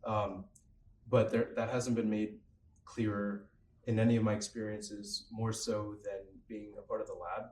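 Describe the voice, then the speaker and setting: A young male student who works in a lab, speaking in a measured, hesitant way with many pauses in a panel discussion. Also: lowish voice, little pitch movement, a little mellow. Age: young adult. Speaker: university student, lab researcher. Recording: roomy, distant mic, faint noise.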